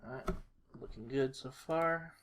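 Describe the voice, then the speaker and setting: A man giving a casual screen-recorded walkthrough, thinking aloud in a low-key, halting mutter. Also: fairly bright tone. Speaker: video creator. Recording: faint noise with keyboard clicks. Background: computer keyboard typing and mouse clicks.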